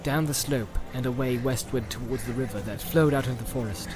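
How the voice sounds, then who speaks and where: A man's voice: mid-range voice, brisk. A man reading a fantasy audiobook as narrator, in a dramatic storytelling style. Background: music and sound effects.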